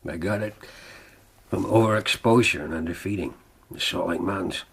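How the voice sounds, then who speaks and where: A gravelly, vengeful cab-driving murderer. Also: male voice, low-pitched, a little fast, fairly bright tone, heavily acted. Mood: resigned. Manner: rough, bitter, dogged. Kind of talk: radio drama acting. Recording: radio drama with faint background noise.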